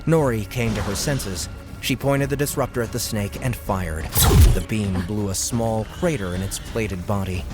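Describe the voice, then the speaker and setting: A man's voice: fairly low pitch, moderate pace. A man narrating an audiobook with dramatic, scripted delivery. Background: loud music.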